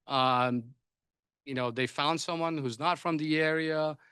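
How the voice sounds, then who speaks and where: A man's voice: a little high, fairly even intonation, unhurried, pushed and bright, smooth, no room sound. A man whose first language is Persian, moderating a panel discussion, often hesitating and restarting his sentences. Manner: hesitant, many restarts and fillers.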